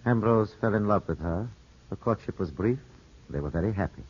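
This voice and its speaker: An actor playing a courteous, evasive Italian lawyer in a formal, measured 1950s radio drama style. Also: male voice, on the low side, animated, a little mellow, slightly rough. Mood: smooth, reassuring. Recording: muffled, scratchy 1950s radio broadcast.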